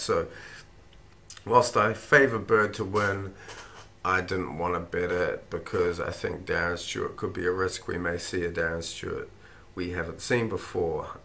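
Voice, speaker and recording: A man with a croaky, run-down voice, rambling casually through fight picks with plenty of swearing. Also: deep voice, sharp tone. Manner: rambling, swears freely, thinks aloud with ums. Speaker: fight-pick vlogger. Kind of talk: casual fight predictions monologue.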